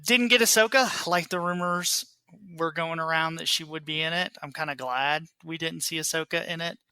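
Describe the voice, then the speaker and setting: A man hosting a podcast, chatting casually in an animated, opinionated and informal way. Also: on the high side, normal speed, clear, resonant tone, no room sound. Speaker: podcast host. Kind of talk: casual panel chat about a TV trailer.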